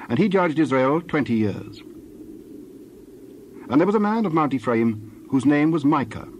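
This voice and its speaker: A man's voice: mid-range voice, a little mellow, a little hiss or hum. A man narrating scripture from a script as a storyteller.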